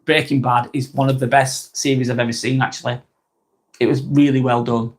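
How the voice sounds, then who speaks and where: A man's voice: medium pitch, flat delivery, brisk. A man with a Lancashire accent from northwest England, hosting a casual live vlog in a rambling, blunt way, swearing casually and often restarting his sentences. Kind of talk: casual live-stream talk.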